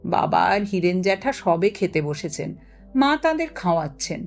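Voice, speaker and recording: A woman's voice: lowish voice, big pitch swings, normal speed. A woman narrating a written story in a dramatic storytelling style. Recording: music under the voice. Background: background music.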